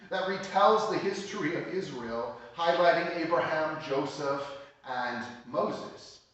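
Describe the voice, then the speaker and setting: A man pastor preaching a sermon, explaining scripture in a measured, plain teaching tone. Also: fairly high pitch, a bit bright. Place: church sanctuary. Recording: echoey church hall, mic at a distance.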